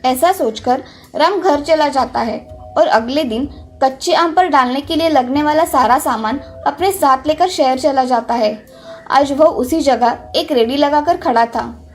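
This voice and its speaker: A woman's voice: high voice, a little slow. A woman reading a scripted children's story as its narrator. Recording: music under the voice.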